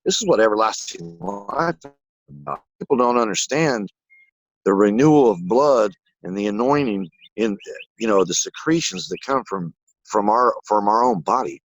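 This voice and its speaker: Rambling, excitable man, low voice. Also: normal speed, no room sound, low-quality recording. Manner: rambling, excitable, swears casually. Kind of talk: casual spiritual conversation.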